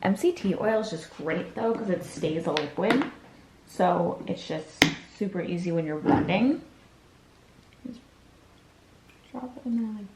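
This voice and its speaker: A young woman vlogging at home, chatty and relaxed, walking through her evening and morning routine and talking to her viewers. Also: mid-range voice, unhurried, long pauses, very noisy. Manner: chatty, relaxed, says "like" and "you guys" often. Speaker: lifestyle vlogger. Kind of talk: casual chat to camera, showing a routine. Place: her home, bedroom and kitchen.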